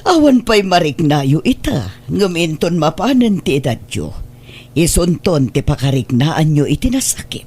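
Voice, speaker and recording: An old, caring mother in an Ilocano radio drama. She is husky and swings widely in pitch, admonishing and pleading with an Ilocano accent. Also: sing-song, moderate pace, darkish tone, exaggerated voice. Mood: concerned, chiding. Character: elderly mother, caring and reproachful.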